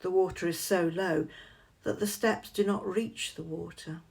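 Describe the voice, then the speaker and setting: Slow-paced woman leading guided meditation. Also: on the low side, fairly even intonation, creaky, darkish tone.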